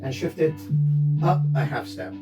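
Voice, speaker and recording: A man's voice: on the high side, unhurried, over loud music. A man presents an instrument tutorial, explaining things plainly and step by step. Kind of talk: explanatory music gear tutorial. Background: electronic chord instrument being played.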